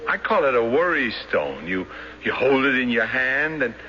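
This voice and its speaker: A man acting in a 1970s radio drama as an earnest, plain-spoken, eccentric loner with a halting, simple way of talking. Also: medium pitch, very animated, pushed and bright. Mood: curious, puzzled. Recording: dull, noisy 1970s radio broadcast.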